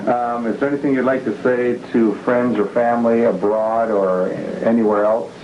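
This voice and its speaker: Man, interviewer, measured questions, echoey room, dull lo-fi tape. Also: medium pitch, steady tone. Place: room inside a residential compound building. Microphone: camcorder microphone. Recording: echoey room on old lo-fi videotape with hiss.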